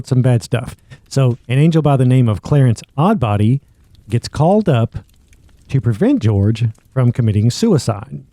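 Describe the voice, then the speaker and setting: A man's voice: medium pitch, mellow, no echo. A man hosting a podcast, narrating a story in a conversational but prepared way. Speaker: podcast host.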